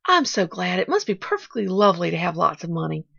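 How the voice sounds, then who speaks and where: A woman's voice: lowish voice, very animated, fast talker, vocal fry, a bit bright, breathy edge, no echo, highs cut off, full character voice. A woman voicing an eager, bravely glad orphan girl in an audiobook, rambling breathlessly and correcting herself. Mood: bright, eager. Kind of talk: audiobook character voice.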